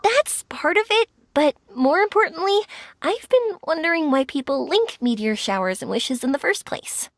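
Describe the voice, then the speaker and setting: A woman playing a cheerful, earnest young dreamer in an anime video game, with a sincere, expressive manner. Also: sits high, moderate pace, a little hiss or hum. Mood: earnest, reflective. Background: music.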